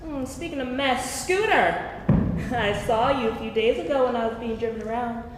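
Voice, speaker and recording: A woman's voice: on the high side, normal speed, natural voice. A woman acting a sly, scolding mentor with a pointed, arch manner in a staged reading in an echoey hall. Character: sly, scolding mentor at a 1966 basement party. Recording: very echoey hall, noisy live stream.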